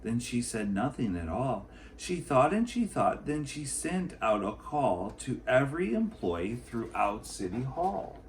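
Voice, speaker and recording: A man, a teacher, reading a children's picture book aloud in an expressive storytelling style. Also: medium pitch, unhurried, few pauses, slight background noise, poor audio.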